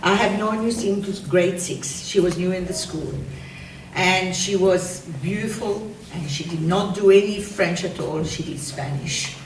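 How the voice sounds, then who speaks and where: A woman's voice: lowish voice, unhurried. Woman, teacher, award speech, heartfelt, far off, echoey hall, noisy. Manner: warm, emotional praise of a student. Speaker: French teacher presenting an award. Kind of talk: award speech at a school assembly. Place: school assembly hall.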